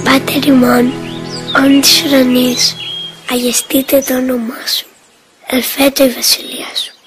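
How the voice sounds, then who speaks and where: A woman voicing a devout small boy in a cartoon, reciting a prayer slowly in a hushed, half-whispered voice. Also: high-pitched, little pitch movement, music in the background, lightly acted.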